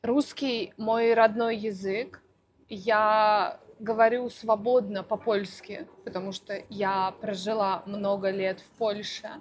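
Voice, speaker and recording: A woman language teacher answering interview questions for learners, speaking slowly and deliberately with careful articulation. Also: medium pitch, steady tone. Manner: slow, deliberate, clearly articulated.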